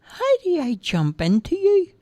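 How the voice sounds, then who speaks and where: Woman voicing a high, stammering young boy for a storybook. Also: huge pitch swings, measured pace, dark tone, no echo, exaggerated voice. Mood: wondering. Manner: hesitant, stammering. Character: young boy, tearful and wondering.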